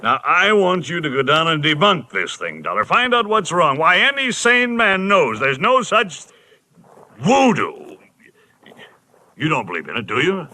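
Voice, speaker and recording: An actor playing a stuffy, agitated middle-aged insurance executive with a proper, emphatic manner in vintage radio style. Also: male voice, on the high side, sing-song, measured pace, pushed and bright, heavily acted. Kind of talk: 1940s radio acting. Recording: noisy vintage radio recording.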